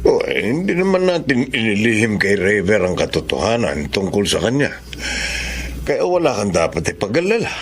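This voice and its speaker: An actor playing a steady, reassuring middle-aged husband and father in a Tagalog radio drama. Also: fairly low pitch, normal speed, slight background noise.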